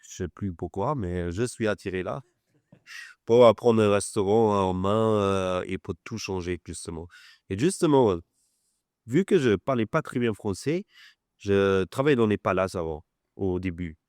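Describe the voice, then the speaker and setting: A man's voice: on the low side, animated, moderate pace, a little mellow, dry recording, clean studio sound. A man with a German accent whose first language is German, a chef telling stories and teasing in casual chat. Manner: teasing, storytelling.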